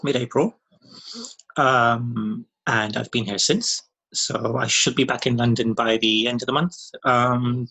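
A man's voice: mid-range voice, vocal fry, pushed and bright, husky, poor audio. A man in his thirties, raised in Wales, chatting casually as a podcast guest, with many ums and easy laughs. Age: 30s. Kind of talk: casual interview chat.

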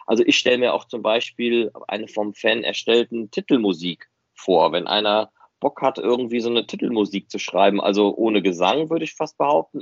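Low-voiced man in muffled podcast chat. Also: no room sound. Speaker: fan podcast host. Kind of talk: casual unscripted podcast chat. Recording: muffled, dry, band-limited audio.

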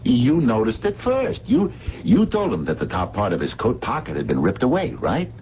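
An actor playing a blunt, street-smart man doing his own detective work, with rough streetwise diction, in a radio drama. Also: mid-range voice, wildly swooping pitch, moderate pace. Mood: insistent. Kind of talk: 1970s radio drama acting. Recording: dull, lightly noisy radio broadcast.

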